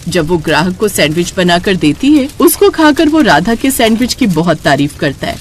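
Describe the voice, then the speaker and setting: A woman's voice: lowish voice, big pitch swings, normal speed. A woman storyteller reading scripted cartoon story narration, with faint noise behind her.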